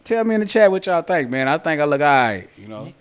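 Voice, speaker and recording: A man's voice: a little high, big pitch swings, fast, clean tone. A forty-year-old man chatting casually and informally as a podcast guest. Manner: informal, conversational, repeats "you know what I'm saying". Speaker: podcast guest, cornhole player.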